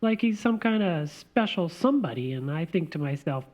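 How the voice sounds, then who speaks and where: A woman playing an earnest, timid partner in a radio play, defensive and expressive. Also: fairly low pitch, normal speed.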